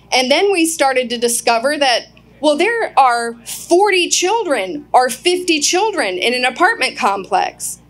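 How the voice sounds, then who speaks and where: Woman in her 40s, guest speaker, earnest public address, fairly high voice, heard through a PA at some distance. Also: a bit bright. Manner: earnest, asks the crowd questions. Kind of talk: public speech to a live crowd. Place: outdoor event.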